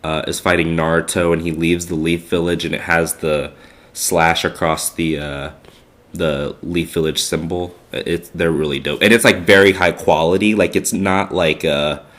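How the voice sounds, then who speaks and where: Deep-voiced, stuffed-up young man chatting. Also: steady tone, normal speed, a bit bright. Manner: rambling, filler-heavy, laid-back. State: congested, stuffed-up nose. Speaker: podcast co-host. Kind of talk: casual chat.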